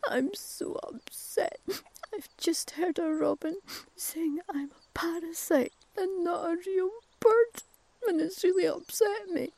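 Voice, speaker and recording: A woman's voice: very high voice, sing-song, takes it slowly, hardly any pauses, a little mellow, dry recording, voice pushed up, full character voice. A woman voicing a tearful young female cuckoo.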